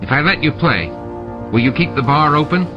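A man voicing a scheming, greedy male alien bar owner, raising his voice high with indignant, wheedling protests. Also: expressive, a little fast, lightly acted. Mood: protesting. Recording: dull lo-fi with music behind.

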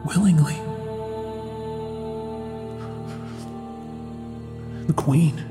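An Australian man playing a troubled, remorseful young man in an ASMR audio drama, with a husky, breathy voice. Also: a little high, lightly acted. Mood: shaken, grave.

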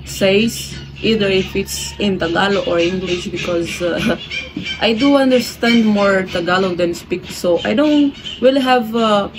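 A young woman from Angola, a guest in a casual interview, talking in a relaxed, thoughtful way with soft laughs. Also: medium pitch. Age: young adult. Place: indoors, room with a red wall and a barred window. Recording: noisy home video.

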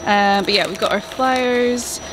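A woman's voice: mid-range voice, measured pace. A young woman talking chattily to a handheld camera in a large indoor trade show hall, with frequent ums. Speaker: vlog host, candle business owner. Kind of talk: casual vlog talk to camera. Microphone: camera held at arm's length. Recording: noisy background.

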